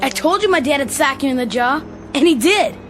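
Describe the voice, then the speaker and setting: A woman playing an excitable little American boy in a radio play. The voice is extremely high and swoops about, mocking and slangy, with music clearly behind it. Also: fairly quick. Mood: jeering. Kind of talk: radio drama acting.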